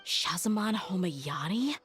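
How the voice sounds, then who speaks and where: Low-pitched young female warrior, loyal and determined, earnest game dialogue with music beneath. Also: expressive, slow, fairly bright tone. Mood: puzzled. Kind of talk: video game character acting.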